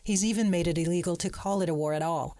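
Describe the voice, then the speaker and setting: A reporter delivering scripted narration in a measured, even way. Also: mid-range voice, dry recording.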